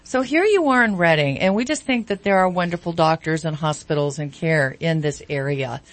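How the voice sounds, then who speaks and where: A woman co-hosting a radio talk show, asking bubbly, halting questions. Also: sits low, animated. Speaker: radio co-host.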